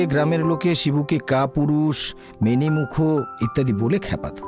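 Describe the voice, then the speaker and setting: A man narrating a village tale in an expressive storytelling manner. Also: mid-range voice. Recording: background music under narration.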